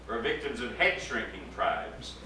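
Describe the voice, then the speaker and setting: A man, an actor, giving an expressive, dramatic reading of a literary story aloud. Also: mid-range voice, a little slow. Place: indoor live reading to a gathering. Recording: echoey room, distant mic, background noise.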